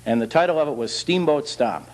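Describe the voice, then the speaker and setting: A man's voice: fairly high pitch, lively intonation, a little fast, rough recording. A man, a bandleader, answering interview questions in a casual, slightly hesitant, explanatory way. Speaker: jazz bandleader, interview guest.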